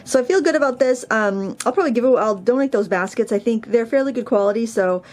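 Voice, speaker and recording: A woman's voice: a little high, fast talker, darkish tone. A woman with a Maine accent chatting casually as a craft vlogger while she sorts her art room, filmed on a handheld camera. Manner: rambling, self-deprecating, many ums and likes. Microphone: handheld camera mic.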